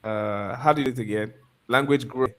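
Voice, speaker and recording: A man's voice: mid-range voice, a little fast. A man with an ordinary mid-range voice hosts a live online interview. He repeats words and restarts his sentences as he asks his questions. The sound comes over a video call. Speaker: host of a live stream. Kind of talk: interviewing a guest.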